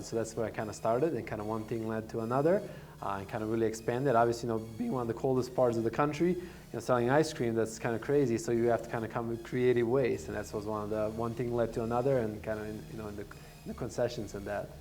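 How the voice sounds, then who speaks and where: Fast-talking man from Serbia. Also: mid-range voice, fairly even intonation, a little mellow. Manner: rambling, many fillers and restarts. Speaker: restaurant owner. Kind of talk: casual interview answers.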